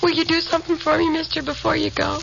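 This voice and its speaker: A woman's voice: very high-pitched, level tone, fast talker, vocal fry, heavily acted. An actress in a 1950s radio drama playing a lonely, trusting woman who speaks in plain, simple sentences. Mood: pleading. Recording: muffled vintage radio recording, faint hiss.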